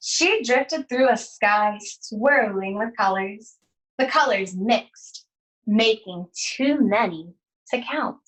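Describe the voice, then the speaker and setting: A woman teacher reading a children's storybook aloud, with deliberate, expressive phrasing and pauses. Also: a little high, far from the mic, poor audio.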